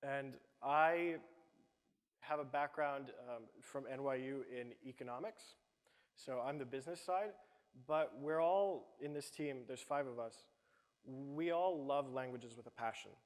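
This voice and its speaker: A man's voice: medium pitch, a little slow. Explaining man in a reverberant hall. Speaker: startup cofounder, business lead. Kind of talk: audience Q&A pitch answer. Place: event hall.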